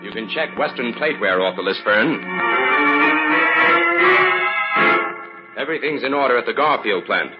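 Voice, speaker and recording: An actor playing a calm, shrewd crime investigator in an old-time radio drama, explaining things crisply and confidently. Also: male voice, medium pitch, fast, over loud music, natural voice. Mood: confident, businesslike. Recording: muffled, hissy old radio recording.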